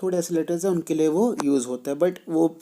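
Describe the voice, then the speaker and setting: A man teaching a French lesson, patiently repeating each point and reading rules slowly. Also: on the high side, warm tone. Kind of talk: explaining a language lesson, mixing in English.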